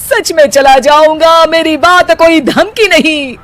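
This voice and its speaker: A man's voice: very high voice, fairly even intonation, unhurried, a bit bright. A voice actor playing a lazy young husband in a cartoon who lives off his in-laws and recites rhyming couplets with comic flourish. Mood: showy. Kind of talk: cartoon voice acting.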